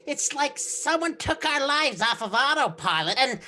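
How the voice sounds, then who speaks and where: A woman's voice: sits high, sing-song, moderate pace, pushed and bright, full character voice. A woman playing an anxious, helpless head elf in an audio drama, plaintive and distressed, with noise behind. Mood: worried, helpless.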